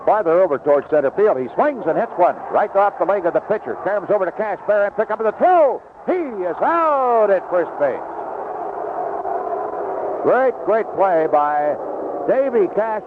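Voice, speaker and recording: Noisy narrow-band radio, male baseball announcer, slightly high voice, live play-by-play, 1970s broadcast. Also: expressive, moderate pace. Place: outdoor ballpark broadcast booth.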